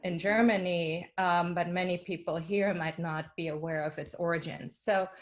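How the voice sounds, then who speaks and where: A woman panelist explaining informally, hesitating and pausing to find her words. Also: fairly low pitch, fairly even intonation.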